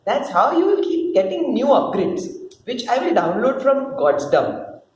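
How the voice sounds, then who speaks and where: A woman's voice: fairly high pitch, big pitch swings, a little slow, a little mellow. A woman voicing God as a doting, chatty, motherly creator who fusses and instructs in a dramatic play reading. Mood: indulgent. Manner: casual, instructing, fussing with interjections. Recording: roomy, distant mic, faint noise.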